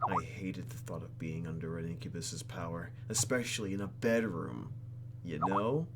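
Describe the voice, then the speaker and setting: A man's voice: deep voice, normal speed, heavy background noise. A man reading visual novel text aloud for a let's play and reacting to it in a theatrical, exaggerated way. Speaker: YouTube gamer.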